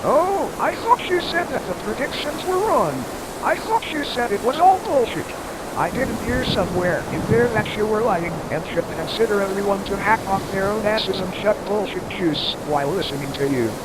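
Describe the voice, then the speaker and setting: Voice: medium pitch, animated, natural voice. A performer voicing a foul-mouthed, hot-tempered storm reporter in an amateur audio drama, taunting and melodramatic. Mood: indignant, accusing. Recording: loud rushing wind and surf noise.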